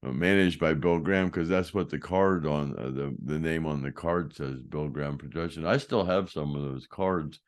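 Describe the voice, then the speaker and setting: An older man with a deep voice telling rambling stories in a casual chat, often trailing off and restarting. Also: steady tone, normal speed, hardly any pauses. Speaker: host, former band roadie.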